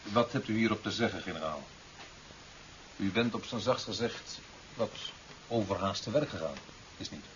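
A man's voice: fairly low pitch, normal speed, long pauses, natural voice. Man acting a stern president, dull hissy recording. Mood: curt, dismissive. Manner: curt, formal, reproachful. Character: stern, impatient male president, cutting and authoritative. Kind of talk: 1970s Dutch radio drama acting.

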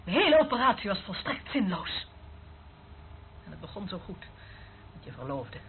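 A woman's voice: mid-range voice, sing-song, normal speed, husky, heavily acted. A woman acting a stern agent in charge of a secret operation in a Dutch radio play, curt and firm. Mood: stern, scolding. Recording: narrow phone-like old radio recording, faint noise.

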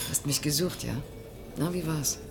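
Low, creaky-voiced determined young conspirator woman. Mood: conspiratorial, determined. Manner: brisk, commanding, conspiratorial. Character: bossy, determined young Brazilian conspirator, daughter of an official. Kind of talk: German radio play acting. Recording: studio radio play with prominent music. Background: music.

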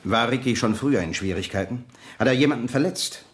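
An actor playing a probing, insistent police sergeant in a 1970s German radio crime drama, pressing with questions. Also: male voice, mid-range voice, a little fast, close to an everyday voice. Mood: pressing, suspicious.

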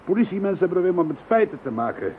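A man's voice: high-pitched, big pitch swings, racing. Een man die in een hoorspel een sceptische, kordate hoofdinspecteur van politie speelt. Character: gruff skeptical male police chief inspector. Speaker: chief inspector. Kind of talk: radio play acting. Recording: lo-fi phone-band radio recording with faint hiss.

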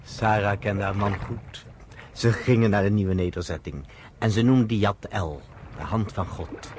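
An actor playing a storyteller, narrating a radio drama in scripted prose. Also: male voice, lowish voice, moderate pace, darkish tone, a little hiss or hum, natural voice. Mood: measured narration. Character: male storyteller recounting history to a woman listener.

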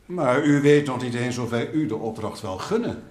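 A man's voice: medium pitch, expressive, moderate pace, darkish tone, lightly acted. A man acting a haughty, impatient militia captain in a radio drama, speaking in a pompous, curt way. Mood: sceptical. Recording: roomy radio play sound.

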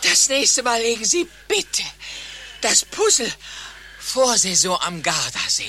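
An actress playing an exasperated, sharp-tongued patient, sarcastic and scolding, in a satirical radio play. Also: female voice, fairly high pitch, wildly swooping pitch, slow, exaggerated voice.

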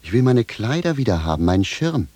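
An actor playing a confused, querulous, plain-spoken village shoemaker in a theatrical radio drama. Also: male voice, fairly low pitch, big pitch swings, a little fast, dark tone, dry recording. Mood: indignant. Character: bewildered simple village shoemaker, risen from dead, indignant.

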